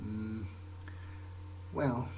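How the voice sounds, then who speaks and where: Slow talking guy doing in-character game monologue, halting and thoughtful, dark soft-edged voice, faint bg noise. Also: lowish voice, fairly even intonation, poor audio. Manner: hesitant, musing, halting. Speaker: gamer role-playing his character in a playthrough. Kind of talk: improvised in-character monologue over a video game.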